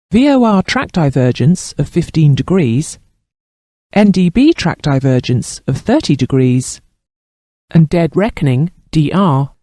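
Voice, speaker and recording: A man reading scripted instructional narration as a training narrator, in a formal, measured and precise manner. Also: on the high side, mellow, dry recording, clean studio sound.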